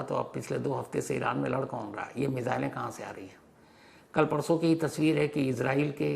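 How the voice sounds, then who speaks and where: A man's voice: mid-range voice, vocal fry. A man presenting an explanatory news monologue in an emphatic storytelling manner, often posing questions and rephrasing points for clarity. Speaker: host of a news explainer show.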